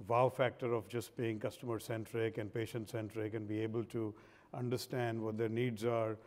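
Man in his forties from India, executive panelist, earnest and halting, lapel mic in a reverberant hall. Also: on the low side, level tone, a little mellow. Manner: earnest, hesitant with many fillers. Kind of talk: conference panel talk. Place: large conference stage.

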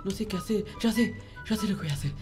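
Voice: mid-range voice, fast, lightly acted. A performer acts out a naive little boy in a video game roleplay, timid and whiny. He stammers and repeats himself in childish worry. Music plays behind the voice. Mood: worried. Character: naive, easily frightened childlike noob boy in Minecraft roleplay. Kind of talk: improvised in-character gaming roleplay.

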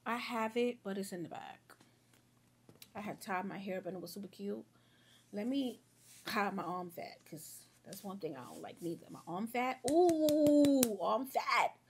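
A woman's voice: mid-range voice, lively intonation, a little slow, slight background noise. A young woman doing her makeup at home and chatting to viewers, a little sniffly from a sore throat, rambling in a friendly way. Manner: rambling, chatty, talks to viewers as y'all and girl. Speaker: beauty vlogger. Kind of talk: casual get-ready-with-me chat.

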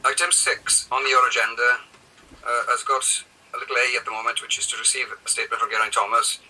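Older Welsh man, flat bright voice, husky, halting delivery, distant call mic. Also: medium pitch. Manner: hesitant, halting, many fillers. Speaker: mayor chairing council meeting. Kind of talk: chairing a meeting. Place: office, indoors. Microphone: video call.

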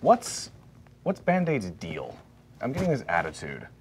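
A man's voice: on the low side, wildly swooping pitch, a little slow, darkish tone, slight background noise. Exasperated man playing a tabletop character. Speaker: player.

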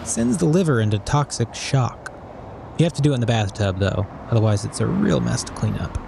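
A man's voice: medium pitch, big pitch swings, a little fast, vocal fry, noisy background. An actor playing a weary, wry man bent on revenge in an audio drama, with a dry, understated delivery. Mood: wry, fond. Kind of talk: naturalistic audio drama acting.

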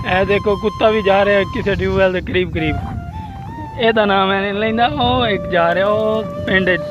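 A man with a Pakistani Punjabi accent talks to his vlog viewers in a high-set voice. He describes things effusively and tends to repeat himself. He is recorded outdoors on a phone, with prominent music over his speech. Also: normal speed, dull audio. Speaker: vlogger. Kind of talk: village vlog commentary. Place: outdoors on a rural road and by a pool. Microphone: handheld phone at arm's length.

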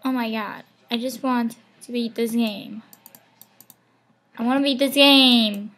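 A woman's voice: fairly high pitch, fairly even intonation, slow pace, fairly bright tone. A young woman losing her voice, chatting casually over gameplay with rambling, half-whispered asides from a webcam at home. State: losing her voice, sore throat. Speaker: gaming channel host. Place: home room.

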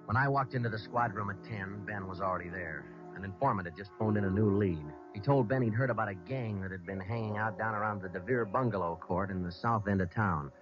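Low-voiced, deadpan American police sergeant played by a man. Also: brisk, hardly any pauses, close to an everyday voice. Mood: deadpan narrating. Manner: clipped, deadpan, matter-of-fact. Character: terse, businesslike male police sergeant narrating his case. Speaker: lead actor and narrator of a police radio drama. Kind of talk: old-time radio crime drama acting and narration. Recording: muffled vintage radio recording with music.

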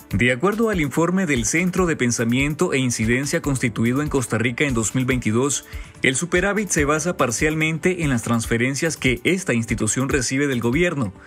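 A man reads a news report in a steady, formal voice-over at a middle pitch. Faint music runs under his narration. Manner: steady, formal read delivery.

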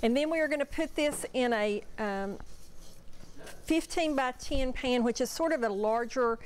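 A woman's voice: a little high, measured pace, slight background noise. An older woman, a guest cook, walking through a recipe step by step in a homey way in a TV studio kitchen. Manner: homey, walks through steps with fillers. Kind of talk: cooking demonstration.

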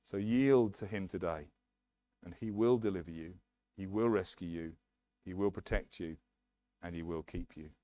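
Middle-aged english guy preaching, earnest and emphatic, lowish voice, headset mic. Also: normal speed, pauses often, warm tone, no echo. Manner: earnest, emphatic preaching with hand gestures at a lectern. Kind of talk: sermon. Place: church hall, medium size, indoors.

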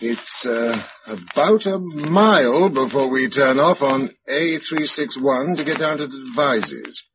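A man's voice: medium pitch, animated, slow pace, few pauses. An actor playing a level-headed male survivor in a radio drama, with an English accent and a dry, matter-of-fact manner. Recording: muffled, noisy radio drama recording.

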